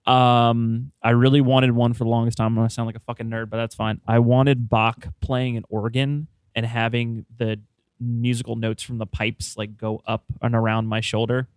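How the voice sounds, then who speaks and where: A man co-hosts a loose podcast chat in a smooth, resonant voice. He talks in an offhand, wry way and swears freely. The sound is close and dry. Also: medium pitch, level tone, moderate pace, no room sound. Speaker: podcast co-host.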